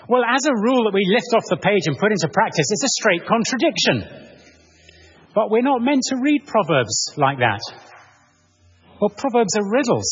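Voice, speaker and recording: A man preaching an expository sermon in a measured, explanatory manner, posing rhetorical questions to his listeners. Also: sits high, slightly rough. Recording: muffled, reverberant large hall.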